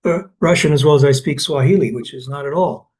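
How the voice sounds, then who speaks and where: A man's voice: fairly high pitch, brisk, darkish tone. An older man from Canada in an oral history interview, telling anecdotes with many restarts and fillers.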